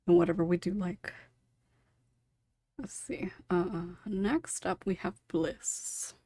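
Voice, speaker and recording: A woman's voice: lowish voice, slow, dark tone, husky, dry recording, studio quality. A woman hosting a casual group podcast, chatty and often giggly. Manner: giggly, laughs often between remarks. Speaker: podcast host.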